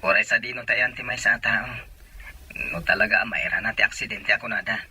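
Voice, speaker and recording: An actor playing an earnest, protective young seafaring sweetheart speaking over the phone in a radio drama. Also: male voice, fairly low pitch, steady tone, fairly quick, sharp tone, a little hiss or hum, poor audio. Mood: affectionate.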